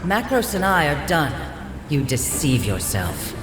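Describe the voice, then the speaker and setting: A resolute, blunt warrior hero with a lively, slightly high male voice. His dramatic game dialogue rings with reverb over music. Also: unhurried. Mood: defiant. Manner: earnest, resolute. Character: determined heroic male warrior, defiant and blunt. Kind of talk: dramatic video game acting.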